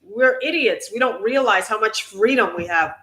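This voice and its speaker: A woman's voice: on the high side, expressive, normal speed, a bit bright. A woman co-hosting a casual live talk show, candidly telling personal stories. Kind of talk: casual live-stream chat.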